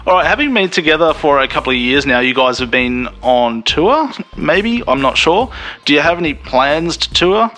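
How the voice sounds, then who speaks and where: A man's voice: on the high side, animated, normal speed, sharp tone, soft background music. A male Australian radio host chatting casually, swearing freely and laughing as he tells stories. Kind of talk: casual interview chat.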